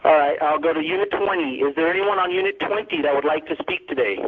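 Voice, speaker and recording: A man's voice: on the high side, quick pace. A man moderating a telephone conference call in an orderly, businesslike way. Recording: muffled narrow telephone line.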